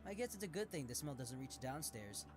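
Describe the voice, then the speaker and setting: A man's voice: a little high, sing-song, fairly quick, music in the background. An actor playing a cheerful, eager young male detective in an anime visual novel, with a playful manner. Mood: matter-of-fact. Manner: enthusiastic, playful.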